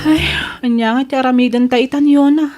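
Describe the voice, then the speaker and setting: A woman's voice: high-pitched, fairly even intonation, a little fast, over loud music, close to an everyday voice. A woman with an Ilocano accent playing a concerned, indignant young friend in a radio drama, chatty and emphatic.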